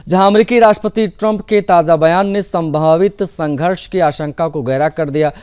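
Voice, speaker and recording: A man's voice: fairly high pitch, a little mellow, clear, resonant tone. A man presenting scripted news as narration in a formal, emphatic manner.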